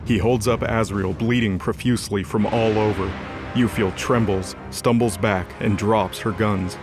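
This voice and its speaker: A man's voice: on the low side, fairly even intonation, a little slow. A man giving scripted dramatic narration as the narrator of an audio drama. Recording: music bed behind voice.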